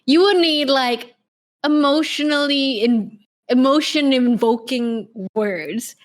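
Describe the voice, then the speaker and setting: A woman's voice: sits high, slow pace. A woman in her twenties with an accent that wavers between Australian and American, co-hosting a casual podcast chat with lots of laughter and swearing. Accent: Australian mixed with American. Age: 20s. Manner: swearing, laughing often, lively banter. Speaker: podcast co-host.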